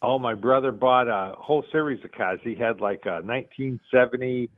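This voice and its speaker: A middle-aged man with a Boston accent, a guest telling stories in a relaxed, rambling way with many fillers. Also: medium pitch, fairly quick, no echo. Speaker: guest, car museum founder. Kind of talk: casual interview storytelling. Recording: tinny phone line.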